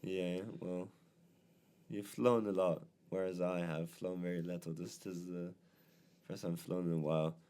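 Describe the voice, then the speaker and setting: A young man co-hosts a casual podcast chat, his voice hoarse from a cold. His tone is gravelly and fry-heavy, dark and mellow, and it sits low. He talks in a chatty way, full of fillers and quick laughs. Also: little pitch movement. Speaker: podcast co-host.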